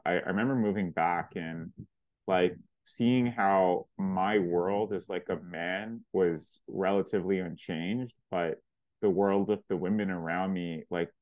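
Low-voiced man, dry close sound. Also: darkish tone, dry recording. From Pakistan. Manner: reflective, many "like" fillers and restarts. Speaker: film director and writer. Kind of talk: interview answers.

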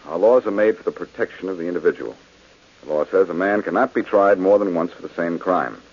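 An actor playing a calm, wry detective hero in 1940s radio acting on a dull old recording. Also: male voice, sits low, brisk, slightly rough. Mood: firm. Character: sharp reformed detective hero, man.

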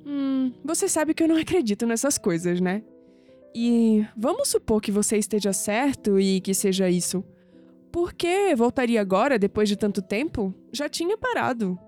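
Actress as high-voiced young skeptical Brazilian woman. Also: moderate pace, soft background music. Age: young adult. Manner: expressive, hesitant when flustered. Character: young skeptical woman, easily flustered, proud. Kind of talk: audio drama acting.

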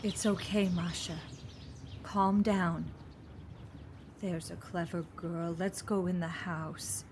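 An actress playing a patient elder sister who speaks in a calm, comforting way. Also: female voice, on the low side, slow pace, a little hiss or hum.

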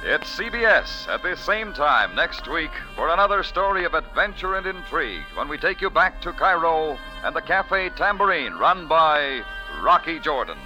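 A man's voice: on the high side, big pitch swings, moderate pace, hardly any pauses, rough recording. A man announcing scripted credits in 1940s radio style as the show's announcer. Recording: old radio recording with music behind.

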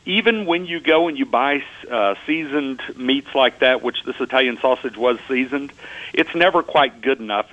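A man's voice: medium pitch, a bit bright, a little hiss or hum. Folksy Texan man, slightly lo-fi. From Texas. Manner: folksy, joking, chatty. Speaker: guest chef. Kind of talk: casual radio chat. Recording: slightly dull lo-fi sound.

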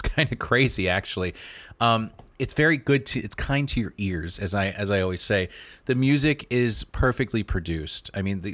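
A man reviews a podcast with eager enthusiasm, stumbling over and repeating words as he talks. His voice sits fairly low and sounds dry and close to the mic. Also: a bit bright, no echo. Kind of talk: casual review commentary.

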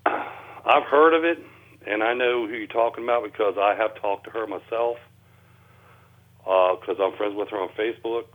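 Over a narrow, tinny phone connection, a man speaks in a low voice. He talks in plain, folksy, loose grammar, explaining things as a guest researcher in a conversational radio interview. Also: normal speed. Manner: folksy, ungrammatical plain speech. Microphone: telephone.

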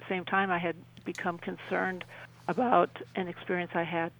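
A woman in her sixties with a Midwestern American accent, telling a personal story as an interview guest in a halting, conversational way. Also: lowish voice, level tone, low-quality recording. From southwestern Wisconsin, United States. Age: 60s.

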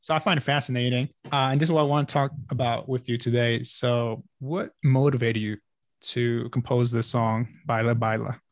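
A man's voice: mid-range voice, steady tone, normal speed, no echo. A man interviewing a guest, asking curious questions.

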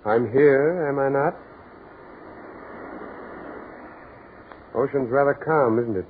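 An American actor playing a brisk, work-obsessed businessman with a dry, evasive manner in a 1940s radio drama. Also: mid-range voice, close to an everyday voice. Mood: dry, defensive. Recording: scratchy 1940s radio broadcast, muffled, noisy.

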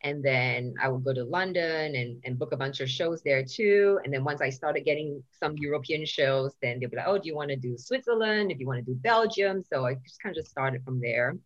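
A woman's voice: very deep voice, a little fast, few pauses, dull audio. A woman from Singapore, a touring stand-up comedian, telling stories in a casual podcast chat. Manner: chatty storyteller, swearing and acting out quotes.

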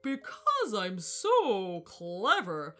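A woman voicing a huge, smug, boastful male elephant in a children's storybook, booming and condescending. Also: wildly swooping pitch, extremely slow, fairly bright tone, faint music behind, exaggerated voice. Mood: gloating.

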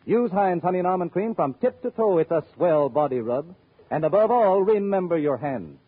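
High-pitched, animated vintage radio pitchman. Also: normal speed, slightly rough. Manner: persuasive sales pitch. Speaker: radio announcer. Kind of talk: scripted 1930s-40s radio advertising. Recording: muffled old radio recording.

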